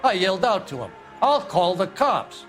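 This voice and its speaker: A man's voice: high-pitched, sing-song, normal speed, fairly bright tone, soft background music. An actor voicing a cranky, nosy old American man in a video game, irritable, defensive and folksy. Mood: boastful, animated.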